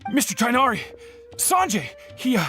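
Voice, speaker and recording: High-pitched, flustered assistant. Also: female voice, sing-song, normal speed, fairly bright tone, faint music behind. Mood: panicked. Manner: urgent, stammering. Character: flustered, panicky junior assistant bringing bad news. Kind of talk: video game voice acting.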